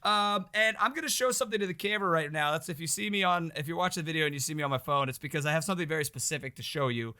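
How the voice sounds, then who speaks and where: A man co-hosting a podcast in casual chat, a little hoarse, chatty and excitable. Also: a little high, very fast, hardly any pauses. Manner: chatty, excitable, self-mocking. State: slightly hoarse. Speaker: podcast co-host.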